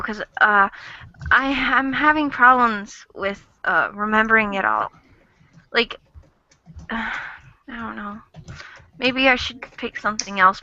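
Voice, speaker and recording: Bright-voiced woman chatting casually. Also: fairly high pitch. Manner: rambling, filler-heavy. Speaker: fan chat host. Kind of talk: casual podcast chat. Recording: lo-fi audio.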